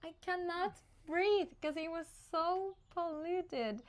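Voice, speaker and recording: A woman from Finland hosting a casual interview, chatty and animated, often laughing as she talks. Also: very high voice, slow pace. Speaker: interview host.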